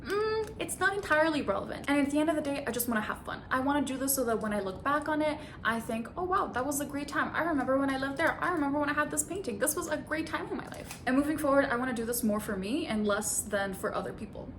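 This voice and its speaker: A woman's voice: high-pitched, expressive, quick pace, hardly any pauses, fairly bright tone, slight background noise. A woman in her twenties, originally from Bulgaria, chatting casually through a Q and A vlog, laughing easily and adding self-conscious asides. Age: 20s. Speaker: vlogger.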